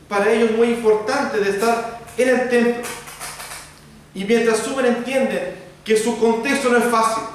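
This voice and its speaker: A Chilean pastor preaching an expository sermon, addressing the congregation directly in repeated parallel phrases. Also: male voice, high-pitched. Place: church sanctuary. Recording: very echoey church, voice from far off, faint noise.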